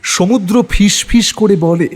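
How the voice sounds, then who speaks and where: A man's voice: high voice, unhurried, breathy edge. A man reading scripted dramatic narration for an audio horror story. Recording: long reverb on the voice.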